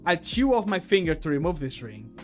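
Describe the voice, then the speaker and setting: Dramatic male fantasy game character read by a man, slightly high voice, soft music behind. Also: big pitch swings, a little fast. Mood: grim. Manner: shouted battle cries in combat lines. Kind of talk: recording game voice lines.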